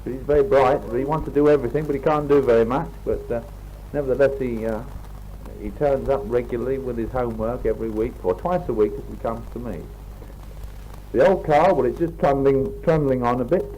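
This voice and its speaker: English male, forties, relaxed mellow tone, informal spoken-letter delivery with dated British idiom, faintly noisy home reel-to-reel recording. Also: medium pitch, brisk. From England. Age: 40s. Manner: chatty, old-fashioned British turns of phrase. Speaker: teacher. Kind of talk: casual spoken letter on tape.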